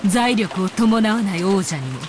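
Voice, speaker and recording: A woman's voice: mid-range voice, expressive, brisk, background noise, close to an everyday voice. An actress playing a haughty, sadistic demon woman in a Japanese visual novel, imperious and mocking in a theatrical way, with music behind her. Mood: imperious taunting. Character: haughty, sadistic female demon fighter, taunting and commanding.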